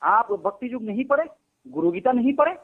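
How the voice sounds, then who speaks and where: A man with a high voice argues on a recorded phone call. He is a native Gujarati speaker. He scolds and presses, repeating the same challenge again and again in a heated way. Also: animated, a little fast. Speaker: devotee of a guru, arguing on a phone call.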